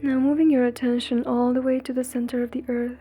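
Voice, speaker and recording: A woman leading a guided meditation in a soothing, breathy, gentle way, leaving long pauses between phrases. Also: high-pitched, level tone. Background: faint music.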